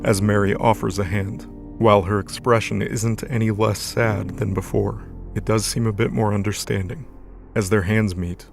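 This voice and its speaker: Gravelly, low-voiced male narrator. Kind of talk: dramatic scripted narration.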